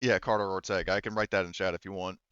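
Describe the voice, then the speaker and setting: A man's voice: sits low, steady tone, quick pace, a bit bright, smooth, no echo. A man running a tabletop game as game master, narrating informally and hesitantly on a slightly dull home recording.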